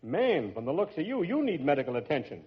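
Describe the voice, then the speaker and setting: An actor playing a daffy, self-important quack doctor in an old-time radio comedy, fussy and easily indignant. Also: male voice, a little high, sing-song, quick pace. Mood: brisk. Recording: muffled old radio recording, faint audience.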